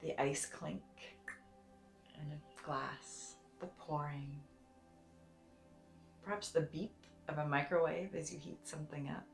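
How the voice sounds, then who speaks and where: Woman doing guided meditation, low gravelly-edged voice, soft n soothing, bit echoey, music under it. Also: steady tone. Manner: soothing, gentle, deliberate with long pauses.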